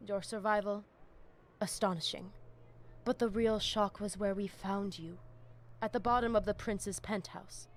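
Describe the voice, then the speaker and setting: A woman's voice: mid-range voice, steady tone, unhurried, slight background noise, close to an everyday voice. Woman as solemn vampire pack leader. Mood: grave, probing. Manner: grave, formal, stately phrasing. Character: solemn Spanish-speaking female vampire pack leader, fierce and loyal. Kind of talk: dramatic video game acting. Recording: clear background ambience.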